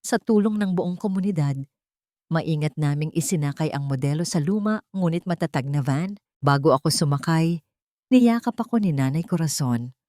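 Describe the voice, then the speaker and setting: A woman's voice: low voice, expressive, normal speed, dark tone, no echo, clean studio sound. A woman with a Filipino accent narrating a scripted first-person story in a dramatic style. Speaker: audiobook narrator.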